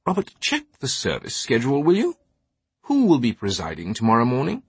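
A man's voice: medium pitch, huge pitch swings, fairly quick, slightly rough, dry recording, pitched above the performer's own voice, exaggerated voice. A man voicing an imperious, scheming older British knight with a haughty upper-class accent, in an audiobook. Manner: pompous, haughty.

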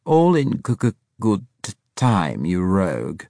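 A man's voice: low voice, huge pitch swings, measured pace, creaky, warm tone, dry recording, clean studio sound, full character voice. A man voicing a cheerful, flamboyant older spy who stammers on consonants and talks in an airy, condescending way. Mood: airy teasing. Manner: airy, arch, condescending, effusive.

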